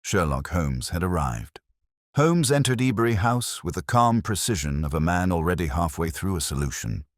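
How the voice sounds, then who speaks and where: A man's voice: low-pitched, sing-song, normal speed, no echo, studio quality. A man reading scripted mystery fiction as a dramatic audiobook narrator.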